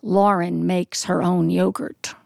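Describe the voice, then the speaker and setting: Expressive woman storyteller, close dry sound. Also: lowish voice, vocal fry, no echo. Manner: expressive, deliberate descriptive delivery with dramatic pauses. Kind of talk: polished live storytelling to an audience.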